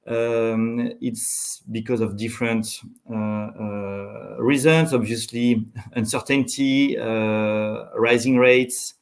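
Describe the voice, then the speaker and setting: French-accented businessman, halting phrasing. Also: medium pitch, fairly even intonation, vocal fry. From France. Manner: halting, hesitant phrasing with repeated words. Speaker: interview guest, chief executive. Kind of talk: unscripted business interview answers.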